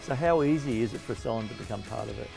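A man's voice: medium pitch, rapid-fire, vocal fry. A middle-aged Australian man casually presenting and interviewing for a promotional video. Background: music with guitar.

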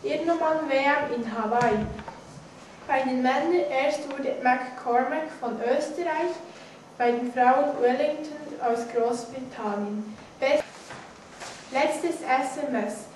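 A young female student reads out a news bulletin in a high voice. She goes slowly and carefully, tripping on the odd word. The classroom rings with strong echo around her. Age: teenager. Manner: careful reading with stumbles over words. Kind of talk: read-aloud news bulletin. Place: indoor school classroom, seated at a desk. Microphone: distant camera microphone. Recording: echoey classroom, distant mic, faint noise.

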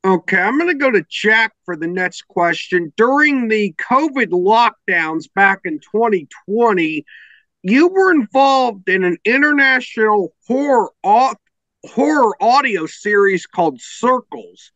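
A man's voice: high voice, animated, a little slow, bright, forceful tone, no room sound, muffled recording. A man hosting an interview podcast, putting prepared questions to guests. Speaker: podcast host.

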